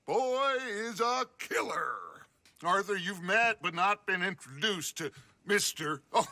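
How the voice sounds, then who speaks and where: An actor playing a grandiose, silver-tongued outlaw gang leader, American, with a theatrical, unctuous manner. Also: male voice, high voice, expressive, takes it slowly, pushed and bright, no echo, full character voice.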